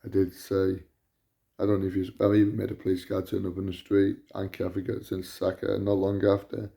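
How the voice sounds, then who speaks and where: A man with a loud voice, delivering an indignant, repetitive rant to camera as a vlogger. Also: sits low, completely flat delivery, brisk, vocal fry. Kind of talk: ranting monologue to camera.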